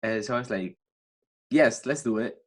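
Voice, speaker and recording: Young man, Spanish accent, non-native English, halting, restarts often, mid-pitched voice. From Spain. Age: young adult. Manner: halting, many restarts and fillers. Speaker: student guest. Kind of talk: casual podcast chat.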